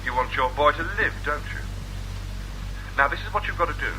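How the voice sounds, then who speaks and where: Sharp, taunting kidnapper on the phone. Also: male voice, on the high side, animated, brisk. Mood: taunting, controlled. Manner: mocking, commanding, falsely polite. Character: taunting, cold-blooded male kidnapper making ransom demands. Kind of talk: radio drama acting. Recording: noisy old radio drama, telephone call.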